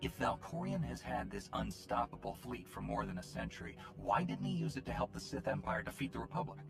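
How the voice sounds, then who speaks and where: Casting: cocky hero, heavy gravel, low and animated, faint game music. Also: male voice, a little fast, full character voice. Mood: calm. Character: self-assured male hero, lucky and pragmatic. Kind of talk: video game cutscene acting.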